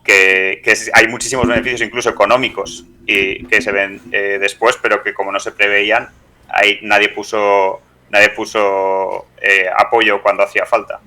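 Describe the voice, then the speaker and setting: A man with an accent from Spain, hosting a conversational interview in halting phrases, often ending on tag questions. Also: on the low side, level tone, bright, forceful tone, low-quality recording. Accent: Spanish from Spain. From Teruel, Spain. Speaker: podcast host, interviewer.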